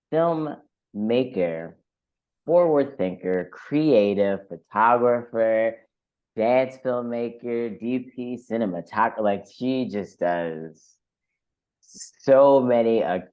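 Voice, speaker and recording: A man with a fairly low voice hosts a conversational podcast interview. He speaks effusively, repeating words as he searches for the next phrase. The recording is slightly dull and muffled.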